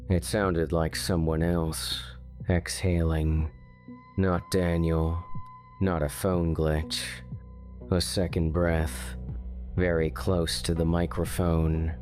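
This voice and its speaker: A man narrating a scripted true-crime horror story in measured, short phrases with pauses. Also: low voice, a little mellow.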